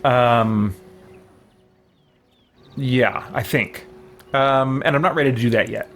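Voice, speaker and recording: A male game streamer gives casual live commentary at a middle pitch. He thinks aloud and reads things out as he goes, with faint game music behind him. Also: slow pace, fairly bright tone.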